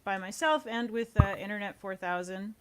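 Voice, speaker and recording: A woman speaks as an interview guest in a casual, informal way. Her voice sits at an average pitch for a woman, and her talk is conversational and unscripted. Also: moderate pace, a bit bright. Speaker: interview guest, audio series co-creator. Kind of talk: casual chat.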